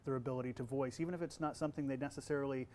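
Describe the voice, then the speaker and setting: A man who works as a voice actor and college instructor, answering interview questions in a relaxed way, with a young-sounding voice and many fillers and restarts. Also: mid-range voice, very fast.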